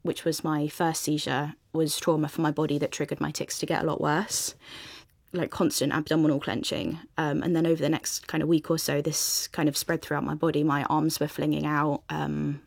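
A woman's voice: deep voice, flat delivery, moderate pace, creaky, no echo. A woman with a British accent tells her own story conversationally as an interview guest. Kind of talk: conversational personal account.

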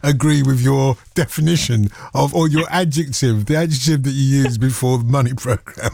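Male late-night music presenter, medium pitch, very dry recording. Chatty, teasing, fond of wordy phrasing. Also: normal speed, vocal fry, a little mellow, no room sound. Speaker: late-night radio music show host. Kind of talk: casual chat with a caller.

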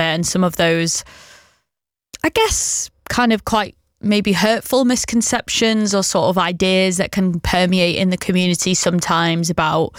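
A woman interviewer asking gentle, measured questions. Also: fairly low pitch, no room sound, studio quality.

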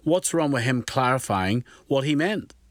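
A man's voice: medium pitch, expressive, dry recording. Probing male radio presenter. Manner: probing, quick interjections. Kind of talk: morning radio interview.

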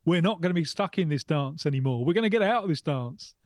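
A man's voice: a little high, expressive, fast talker, dry recording. Male studio pundit, reflective and repetitive, dry close sound. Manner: reflective, repeats phrases for emphasis. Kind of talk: casual chat.

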